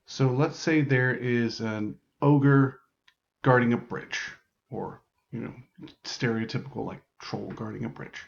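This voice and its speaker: A man's voice: medium pitch, a little slow, vocal fry, muffled recording. A man giving an improvised, casual tutorial as a game host, informally thinking aloud in loose phrases. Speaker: host explaining how to write larp game modules.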